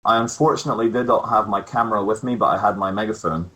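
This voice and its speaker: A man's voice: fairly low pitch, fairly even intonation, fast talker, a bit bright, low-quality recording. A man giving a spoken eyewitness account as a guest in an interview.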